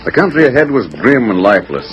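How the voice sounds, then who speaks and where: A man's voice: medium pitch, big pitch swings, quick pace, faint music behind. A man narrating a 1940s radio western in a dramatic, suspenseful storytelling manner. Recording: dull vintage radio broadcast recording.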